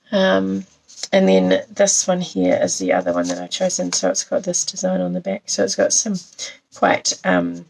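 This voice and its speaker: A New woman with a croaky voice from a cold, chatting as she demonstrates a papercraft card on a live stream and greets viewers by name. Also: lowish voice, low-quality recording. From New Zealand.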